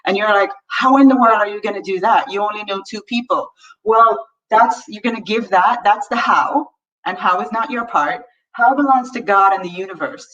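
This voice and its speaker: A woman's voice: mid-range voice, moderate pace, fairly bright tone. Woman coaching on a distant lo-fi mic. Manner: earnest, reads viewer comments aloud, frequent "right?". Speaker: money coaching host. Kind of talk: live-stream coaching talk. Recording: distant mic, dull lo-fi sound.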